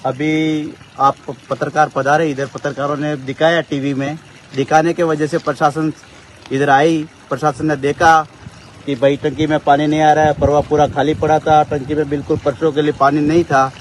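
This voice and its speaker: A man's voice: a little high, little pitch movement, moderate pace, rough recording. A local man giving a plain, repetitive account to a reporter outdoors. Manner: repetitive, simple phrasing. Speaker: local villager interviewed. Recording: outdoor interview with background noise.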